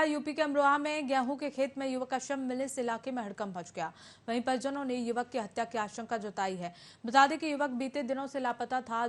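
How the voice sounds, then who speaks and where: A woman anchor narrating a television news bulletin in a formal scripted style. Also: high voice. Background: background music.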